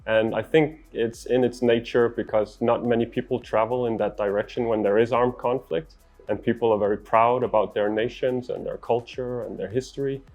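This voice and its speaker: A man's voice: medium pitch, steady tone, normal speed, a little mellow, lo-fi sound. Danish-accented man with music behind him. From Denmark. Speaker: interviewed traveller and adventurer. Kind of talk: interview answers, storytelling.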